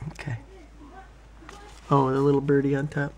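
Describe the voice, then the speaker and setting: A man reading a children's bedtime story aloud as a father, in an expressive, playful way. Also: mid-range voice, moderate pace.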